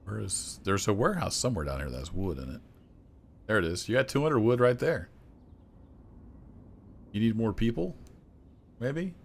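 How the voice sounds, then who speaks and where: Very deep-voiced man, slightly rough. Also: moderate pace, pauses often, a little hiss or hum. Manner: relaxed, thinking aloud with fillers and restarts. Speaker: streamer. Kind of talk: casual gameplay commentary.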